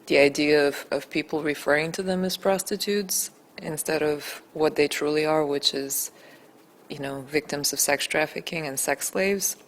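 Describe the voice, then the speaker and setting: A native Bulgarian woman answering interview questions as a documentary director, earnest, deliberate and emphatic. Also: low-pitched, low-quality recording.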